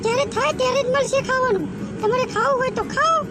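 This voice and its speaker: A man's voice: extremely high pitch, brisk, loud music behind the voice, over a noisy crowd, voice pushed up. A man voicing a cross, nagging female crow in a cartoon fable, scolding and curt. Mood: irritated scolding.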